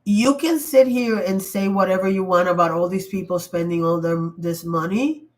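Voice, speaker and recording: A woman's voice: on the low side, normal speed. A woman hosting a casual livestream while sick and losing her voice, talking in an emphatic, rambling way with frequent restarts. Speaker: livestream host. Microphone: computer mic.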